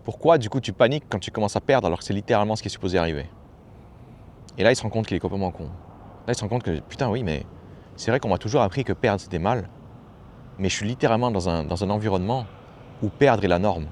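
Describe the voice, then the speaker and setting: A man's voice: lowish voice, fast talker, darkish tone, slight background noise. A man giving a casual motivational talk as a personal development coach, familiar and slangy, hammering points home by repeating phrases.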